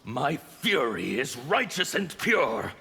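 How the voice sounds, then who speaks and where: An actor playing a fanatical, defiant anarchist villain in a fighting game, with a strained, theatrical delivery. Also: male voice, mid-range voice, very animated, slow, full character voice. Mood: contemptuous. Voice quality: gravelly, strained. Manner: theatrical, declamatory. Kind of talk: fighting game character dialogue. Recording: long reverb, faint background noise.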